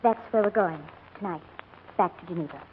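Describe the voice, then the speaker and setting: A woman's voice: fairly low pitch, fairly quick, natural voice. A woman acting a strained, earnest, anxious mother in a 1940s radio drama. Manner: earnest, urgent. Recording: tinny old radio recording, faint noise.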